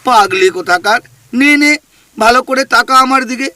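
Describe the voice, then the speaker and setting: An actor playing a mischievous male ghost in an audio drama, mocking and bossy in a cheeky drawn-out way. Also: very high-pitched, sharp tone, dry recording, full character voice. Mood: teasing.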